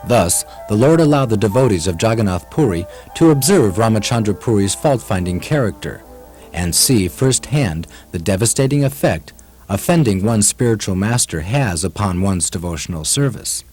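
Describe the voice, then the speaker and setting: Low-voiced male storyteller over music. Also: expressive, moderate pace, darkish tone. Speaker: narrator. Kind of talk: scripted story narration for a puppet show.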